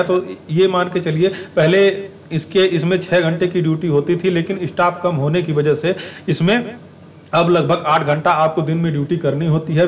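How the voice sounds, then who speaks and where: A man's voice: a little high, far from the mic. A man giving an explanatory talk to exam aspirants, repeating and rephrasing his points as he goes. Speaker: exam information presenter.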